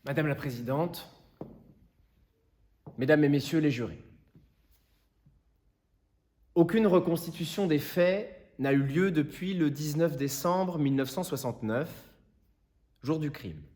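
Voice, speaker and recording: A man playing an earnest, impassioned defence lawyer, delivering a solemn theatrical plea to a jury in deliberate rhetorical phrases. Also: fairly high pitch, a little mellow, lightly acted. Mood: solemn, firm. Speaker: actor performing a monologue. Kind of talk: theatrical courtroom closing speech.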